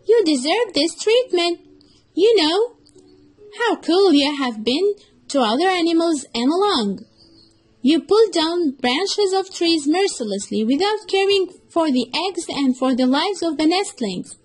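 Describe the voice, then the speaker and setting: Storybook fox, played by a woman: high-pitched, cunning, emphatic flatterer with a scornful edge. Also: measured pace. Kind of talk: children's storybook reading.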